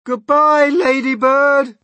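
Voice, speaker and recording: A man's voice: lowish voice, big pitch swings, normal speed, fairly bright tone, breathy edge, no echo, exaggerated voice. A man voicing a brave, earnest young boy who calls out urgently in a storybook reading. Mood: gasping farewell. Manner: commanding, exclaiming.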